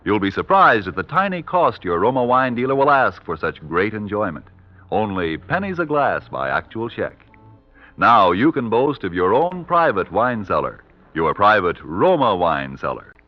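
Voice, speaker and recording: Male vintage radio ad announcer, low and very animated, dull archival sound. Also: moderate pace. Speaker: commercial announcer. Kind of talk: 1940s radio advertising read. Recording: dull, dry 1940s radio recording.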